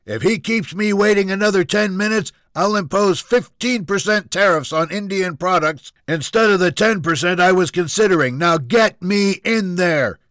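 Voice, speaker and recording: Guy doing a spoiled hothead rich son, loud and pompous, yelling demands way up high, audiobook style. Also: moderate pace, few pauses, no echo, clean studio sound. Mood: threatening. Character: hot-tempered entitled president's son, a screaming arrogant man.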